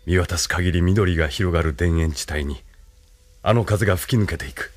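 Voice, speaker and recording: Deep-voiced commanding colonel. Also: male voice. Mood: grave, impassioned. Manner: measured, authoritative, philosophical. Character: commanding, composed male colonel, leader of a space agency. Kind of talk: Japanese audio drama acting.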